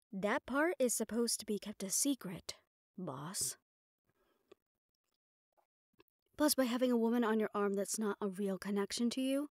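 A woman's voice: medium pitch, darkish tone, no room sound, natural voice. A woman playing a sardonic, capable personal assistant to a mafia boss in an intimate audio roleplay, speaking in a dry, familiar, businesslike way. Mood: firm. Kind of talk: intimate scripted audio roleplay, one-sided dialogue.

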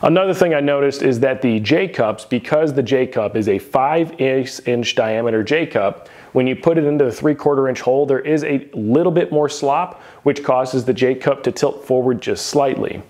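A man's voice: medium pitch, normal speed, hardly any pauses, darkish tone. A man in his thirties, seated in a garage gym, giving a detailed, plain-spoken equipment review to camera.

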